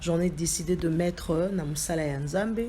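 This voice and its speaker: A young adult woman from Congo, a gospel singer, speaking earnestly and measuredly as an interview guest, with pauses and fillers. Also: fairly low pitch, dark tone, a little hiss or hum. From Democratic Republic of the Congo. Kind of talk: interview, mixing French and Lingala.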